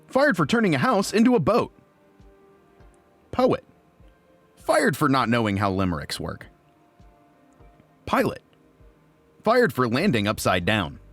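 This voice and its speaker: A man's voice: medium pitch, fairly bright tone, no echo, full character voice. A man voicing a cheerful, naive, friendly robot who narrates an audiobook in an earnest, guileless way. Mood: matter-of-fact.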